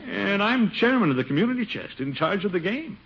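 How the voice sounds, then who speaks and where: An actor playing a pompous, doting middle-aged uncle with a blustery, chuckling delivery in 1950s radio comedy style. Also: male voice, sits high, sing-song, a little fast, creaky, heavily acted. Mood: dismayed. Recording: muffled, hissy old radio recording.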